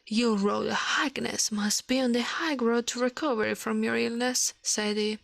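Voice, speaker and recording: A woman reading a fairy tale aloud in a soft ASMR style, careful and a little halting, close to the microphone. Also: on the high side, fairly even intonation, creaky, dry recording.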